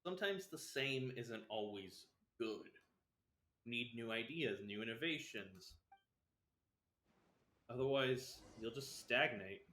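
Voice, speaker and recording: A man's voice: mid-range voice, sharp tone. A man giving casual, blunt, offhand live commentary as a game streamer. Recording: roomy, echoing streamer microphone. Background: game music.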